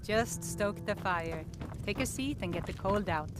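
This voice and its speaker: A woman's voice: medium pitch, animated, a little slow, over music, close to an everyday voice. A woman playing a welcoming, businesslike innkeeper in a fantasy video game.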